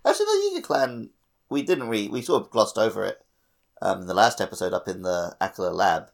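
A man's voice: fairly low pitch, big pitch swings, a little fast, gravelly. A man co-hosting a podcast, chatting casually about a video game. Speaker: podcast co-host.

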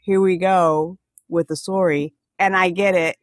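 Halting American woman, casual podcast guest. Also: on the low side, fairly even intonation, no echo, studio quality. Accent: American, faint Alaskan. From Alaska, US. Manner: halting, searching for words. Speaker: podcast guest, comedian and activist. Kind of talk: casual conversational interview.

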